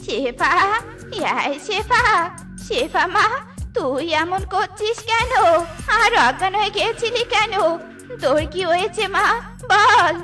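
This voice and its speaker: A woman's voice: very high-pitched, expressive, takes it slowly, exaggerated voice. A woman voicing an anxious mother fox in a comic cartoon, pleading and melodramatic. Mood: fretful. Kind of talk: exaggerated comic cartoon acting. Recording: music under the voice.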